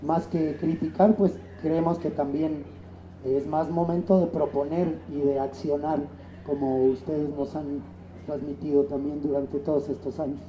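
A man's voice: fairly high pitch, flat delivery, measured pace. A middle-aged man, a musician giving earnest interview answers. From Mexico, born in Chile. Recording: dull, echoey room, faint noise.

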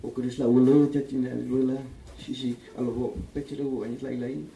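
Man with a dark voice, reading from far off. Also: mid-range voice, normal speed, gravelly, slight background noise. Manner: calm, steady reading voice. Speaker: writer reading his own text. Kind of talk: literary reading at a public event. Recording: echoey room, distant mic.

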